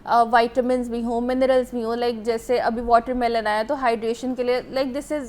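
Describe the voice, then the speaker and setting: A woman's voice: on the high side, flat delivery, normal speed, a little mellow, a little hiss or hum. A woman doctor with a Pakistani Urdu accent, explaining health matters on a studio talk show and mixing English into her speech with many fillers.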